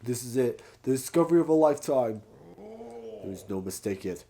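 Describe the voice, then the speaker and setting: A man voicing an excitable, chatty Goron researcher from a video game, full of exclamations and buddy talk. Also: medium pitch, moderate pace, gravelly. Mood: awed. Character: excitable, chummy Goron researcher of ancient ruins.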